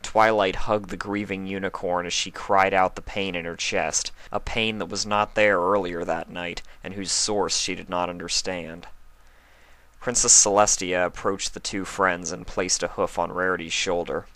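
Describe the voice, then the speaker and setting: A man's voice: low voice, fairly even intonation, moderate pace, pushed and bright, no room sound. A man hosting and narrating a fan fiction reading, moving between casual talk and storybook narration. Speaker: fan fiction reader, channel host. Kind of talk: casual spoken introduction, then storybook narration.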